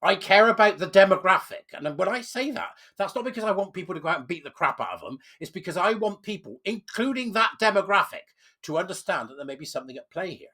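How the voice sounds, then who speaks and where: Croaky man with a cold. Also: sits high, sing-song, fast. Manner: emphatic, insistent, with sudden loud stresses. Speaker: channel host. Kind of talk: opinion commentary monologue.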